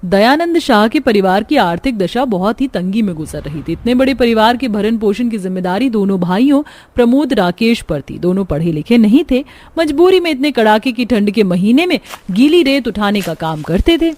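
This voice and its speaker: A woman's voice: on the high side, animated, moderate pace, few pauses, a little mellow. A woman giving scripted narration as the storyteller of a family tale.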